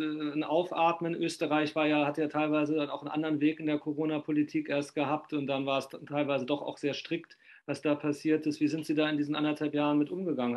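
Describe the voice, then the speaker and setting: A man in his fifties interviewing conversationally over a video call, rambling and often interrupting himself mid-sentence. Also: a little high. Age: 50s. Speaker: interviewer, magazine publisher. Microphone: video call microphone at desk distance.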